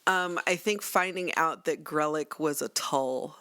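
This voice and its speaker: Woman, bright forward voice, dry close sound, casual self-deprecating chat. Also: low voice, unhurried, no room sound. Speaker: player on a tabletop game podcast.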